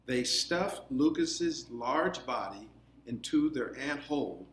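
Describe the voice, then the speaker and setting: A man reading aloud to children, explaining as he goes with deliberate, drawn-out phrasing. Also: medium pitch, animated. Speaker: read-aloud storyteller. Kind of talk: children's storybook read-aloud. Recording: echoey room, faint background noise.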